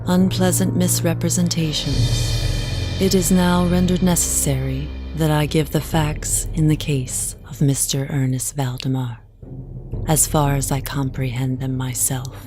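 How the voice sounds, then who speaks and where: A young widow confiding her story in a radio play. Her voice is very low for a woman, formal and measured, with music clearly behind it. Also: flat delivery. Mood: composed, confiding. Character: composed young widow, former jazz singer, telling her story. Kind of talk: audio drama first-person narration.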